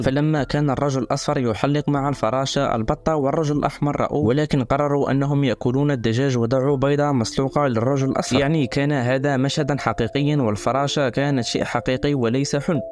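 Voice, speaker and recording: A man's voice: medium pitch, darkish tone. A man hosting and narrating a scripted explainer in formal Arabic. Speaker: video host and narrator.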